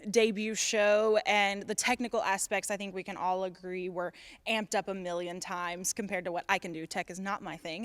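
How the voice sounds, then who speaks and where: A woman's voice: medium pitch, dry recording. A woman speaking enthusiastically as a school theatre director giving interview answers.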